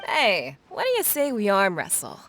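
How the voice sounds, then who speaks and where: An actress playing an enthusiastic, friendly female sailor in a video game, with an excitable, familiar manner. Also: mid-range voice, normal speed, slight background noise. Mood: excited, admiring.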